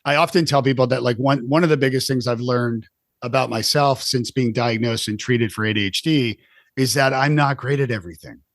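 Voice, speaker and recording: Chatty male podcast host, mid-pitch, dry close sound, stumbling restarts. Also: brisk, no echo. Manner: chatty, with frequent restarts and repeated words. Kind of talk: casual podcast interview.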